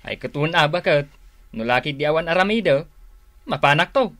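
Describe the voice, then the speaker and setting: A man's voice: fairly high pitch, expressive, a little fast, bright, forceful tone. A man acting an idle, reluctant village husband in a radio drama, with an Ilocano accent. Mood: reluctant, agreeable.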